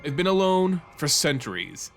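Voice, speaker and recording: A man voicing a centuries-old vampire lord in an audio fantasy story, deep, stern and disdainful. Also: sing-song, moderate pace, creaky, soft background music. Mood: brooding, resigned.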